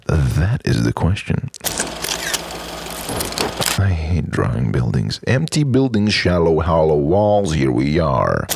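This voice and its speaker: A man narrating an introspective spoken-word monologue in halting, musing phrases full of rhetorical questions. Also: sits low, background noise. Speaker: narrator of a personal art film.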